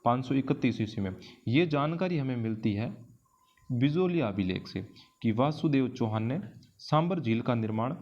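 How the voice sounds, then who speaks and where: A man's voice: mid-range voice, lively intonation, normal speed, highs cut off. A man with an Indian accent teaching an exam-prep lesson in question-and-answer form, didactically repeating key phrases for emphasis. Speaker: history teacher.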